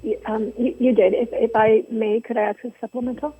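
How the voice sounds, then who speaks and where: A hoarse woman with laryngitis, a school trustee asking polite, hesitant questions at a meeting. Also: on the high side, flat delivery, a little fast, dry recording. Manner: hesitant, polite, many ums. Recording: tinny phone-line audio.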